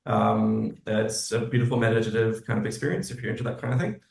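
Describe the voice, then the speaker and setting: Male interview guest, composer, fairly low pitch, casual conversational delivery, roomy sound. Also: fast, vocal fry, darkish tone. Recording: roomy echo.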